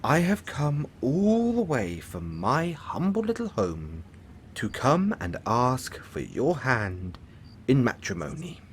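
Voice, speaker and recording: A man's voice: mid-range voice, wildly swooping pitch, slow pace, slight background noise. Man acting a theatrical villain, wildly swooping delivery. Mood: grand, smug wooing. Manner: theatrical, pompous, grandiloquent. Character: flamboyant, smug male villain, lovestruck and vain. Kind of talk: audio roleplay acting addressed to the listener.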